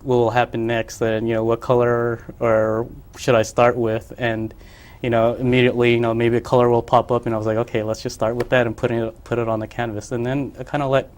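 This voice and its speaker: A man's voice: mid-range voice, level tone, brisk, darkish tone. A young man from the Philippines, a painter being interviewed on a local cable show, who talks in a hesitant way full of fillers and restarts. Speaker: artist guest. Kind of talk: casual interview.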